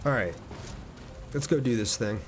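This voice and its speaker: A man's voice: mid-range voice, expressive, normal speed. Man livestreaming with noisy game ambience behind. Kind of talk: casual game stream commentary, chatting with viewers. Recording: clear background noise, game ambience.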